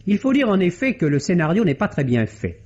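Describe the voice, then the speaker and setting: A man reading a scripted film review as a radio critic, measured, literary and articulate, in the style of 1950s radio. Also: sits high, big pitch swings, a little mellow. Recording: dull vintage 1950s radio recording.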